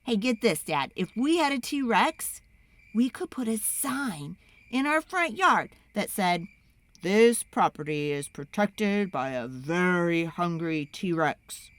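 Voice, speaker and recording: A woman voicing an eager, pleading young child in an excitable, dramatic storybook read-aloud. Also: on the low side, voice pushed down. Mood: eager, boastful. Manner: wheedling, excitable, dramatic, drawn-out emphasis.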